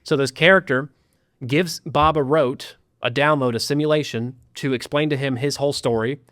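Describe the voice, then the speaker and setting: A man recounting a story in casual podcast talk, animated, with sarcastic asides. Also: medium pitch, normal speed, dry recording, studio quality. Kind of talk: casual chat.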